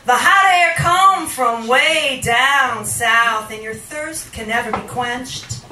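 A middle-aged woman reciting poetry live into a handheld microphone in a small bar, with dramatic, deliberate phrasing and pauses that sometimes turns sing-song. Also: high-pitched, distant mic, music in the background. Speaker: featured poet at a reading. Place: small wood-paneled bar venue, indoors. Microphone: handheld microphone, filmed from across the room. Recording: roomy live recording from afar, background noise.

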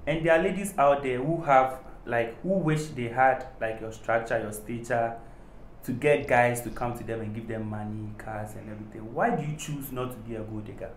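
A young man hosts a casual interview show, joking around and echoing back what his guest says. His voice has a medium pitch. The room is echoey, with faint hiss in the background. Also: normal speed. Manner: playful, jokey, repeats his guest's words. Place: studio set with screens, indoors.

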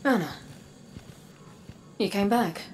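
An actress playing a weary, resolute robot woman in a video game, speaking in an earnest, measured, solemn way. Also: mid-range voice, a little mellow, a little hiss or hum, lightly acted. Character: weary, resolute robot woman, a guarded sister from dark future. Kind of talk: dramatic video game acting. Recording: game music behind the voice. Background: game soundtrack music.

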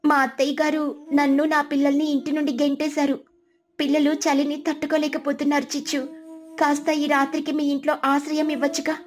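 A woman's voice: high voice, completely flat delivery, fairly quick, lightly acted. A woman voicing a meek, troubled young mother sparrow with a plaintive, pleading manner in a cartoon story.

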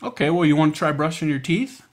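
A man's voice: on the high side, lively intonation, fast talker, slight background noise. A man hosting a children's storytime, reading picture books and adding playful, casual asides in simple words. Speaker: children's library storytime host.

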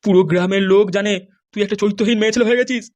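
Man voicing a rapid-fire, high-pitched furious cartoon husband. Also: fairly even intonation, darkish tone, natural voice. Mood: bitter, scolding. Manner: scolding, swearing, accusatory. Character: furious, foul-mouthed male politician husband back from presumed death. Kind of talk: comedy cartoon dialogue.

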